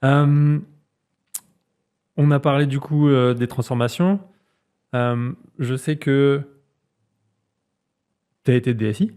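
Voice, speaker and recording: A man from France hosting a podcast, asking questions in a relaxed, casual way. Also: mid-range voice, takes it slowly, pauses often, clean tone. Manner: relaxed, friendly questioning with fillers. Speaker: podcast host. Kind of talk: casual interview.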